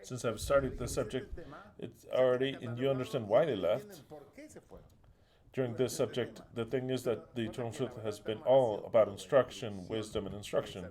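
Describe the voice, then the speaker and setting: Man, argentinian accent, preacher, emphatic sermon, mid-pitched voice, call-and-repeat drilling. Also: steady tone, moderate pace. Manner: emphatic, swings between loud stresses and quiet asides; drills the audience to repeat after him.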